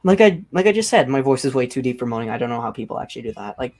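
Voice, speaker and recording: A young man with a deep voice hosting a casual livestream, rambling and filling nearly every phrase with "like". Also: big pitch swings, rapid-fire, a little mellow. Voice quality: self-described deep voice. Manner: rambling, heavy use of "like". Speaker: livestream host.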